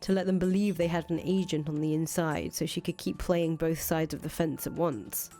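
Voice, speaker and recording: A woman's voice: sits low, steady tone, normal speed, dark tone, natural voice. A woman playing a cynical, foul-mouthed ousted rebel leader in a visual novel, thinking aloud in casual, profane speech. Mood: bitter, frustrated. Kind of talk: acted reading of visual novel dialogue. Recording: music under the voice.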